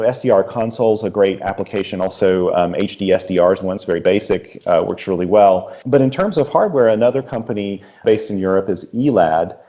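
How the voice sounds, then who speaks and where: A man with a low, relaxed voice chats as a podcast guest. He explains things in enthusiastic detail. The recording is slightly dull and lo-fi. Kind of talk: casual interview talk.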